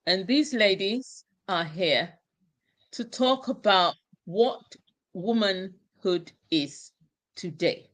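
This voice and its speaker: A woman from Ghana hosting an online discussion show, speaking in a slow, emphatic, exhorting way. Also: medium pitch, expressive, a bit bright. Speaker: talk show host.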